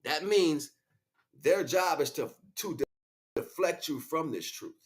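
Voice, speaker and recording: A man's voice: on the high side, lively intonation, measured pace. A man livestreaming an informal spiritual lecture, speaking off the cuff with emphatic repeated phrases and frequent check-ins with his listeners. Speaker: livestream host, spiritual teacher.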